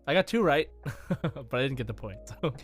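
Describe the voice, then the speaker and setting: Pria pembawa kuis podcast, diiringi musik. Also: male voice, medium pitch, animated, normal speed. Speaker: podcast co-host and quiz presenter. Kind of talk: casual game quiz chat. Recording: music playing behind.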